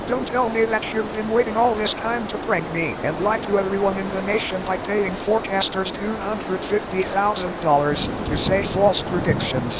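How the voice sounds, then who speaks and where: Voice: mid-range voice, lightly acted. A performer voicing a foul-mouthed, hot-tempered storm reporter in an amateur audio drama, taunting and melodramatic. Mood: indignant, accusing. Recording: loud rushing wind and surf noise.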